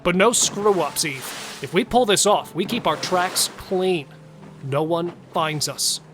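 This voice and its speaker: A man acts out a male serial killer in a horror audio drama, cautious yet hungry for blood. His voice sits somewhat high and his delivery is intense and deliberate. Music plays clearly underneath. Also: fairly bright tone. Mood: firm, resolute. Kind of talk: audio thriller acting.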